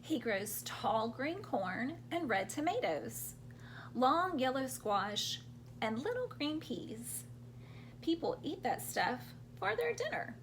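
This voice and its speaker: A woman's voice: sits high, very animated, slow pace, a little hiss or hum. A woman hosting a children's storytime, reading picture books aloud expressively and explaining things simply for young listeners.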